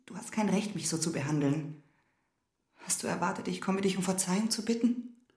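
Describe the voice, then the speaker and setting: A woman playing a proud, wounded wife in a German radio drama, reproachful and intense, sometimes dropping into hushed asides. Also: on the low side, normal speed, roomy sound, lightly acted. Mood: indignant, hurt. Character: wounded, proud wife confronting her husband and lover.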